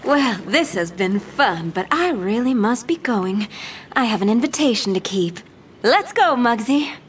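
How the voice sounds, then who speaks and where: A woman's voice: a little high, sing-song, unhurried, a little hiss or hum. An actress playing a glamorous, self-assured female gang leader with a playful, mocking, flirtatious drawl. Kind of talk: dramatic audio-drama acting.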